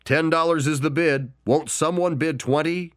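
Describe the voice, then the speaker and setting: Man doing a raised, insistent auctioneer, lively pitch, dry close sound. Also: normal speed, no room sound, natural voice. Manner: calls out bids, insistent. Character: loud insistent male auctioneer.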